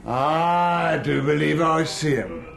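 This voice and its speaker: Creaky, slow, sly pirate. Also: male voice, a little high, fairly bright tone, a little hiss or hum, full character voice. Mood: gleeful scheming. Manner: insinuating, falsely friendly. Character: sly, wheedling, menacing pale old pirate seaman. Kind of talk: radio drama acting.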